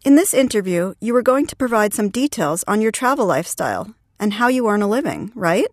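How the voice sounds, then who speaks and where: A woman with a medium-pitched voice acts as interviewer in a scripted listening exercise. The recording is close and dry, free of room echo. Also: sing-song, a little fast.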